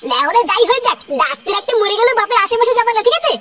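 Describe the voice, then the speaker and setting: A performer voicing an excitable, crude cartoon villager, scolding in slangy exclamations through a pitched-up effect. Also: racing. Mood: stern warning. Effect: pitched-up chipmunk-like voice. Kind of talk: Bengali comedy cartoon voice acting with sped-up, pitched-up voices. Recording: loud background music.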